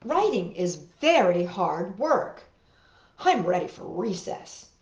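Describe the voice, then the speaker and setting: A woman hosting storytime, reading a picture book aloud in an expressive, exaggerated way with drawn-out words. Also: mid-range voice, reverberant, lo-fi sound. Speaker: children's storytime host and reader.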